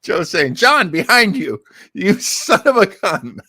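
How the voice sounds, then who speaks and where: A man's voice: sits high, sing-song, slow pace, bright, forceful tone, dry recording, clean studio sound. A man speaking as a host in casual, enthusiastic and digressive commentary to his live audience, with lightly dramatic emphasis. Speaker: host of an old-time radio listening party.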